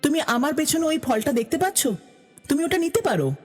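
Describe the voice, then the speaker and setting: A woman voicing a proud, gentle magical unicorn in a cartoon fairy tale. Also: high voice, expressive, brisk. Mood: calm, friendly. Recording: long reverb, music behind the voice.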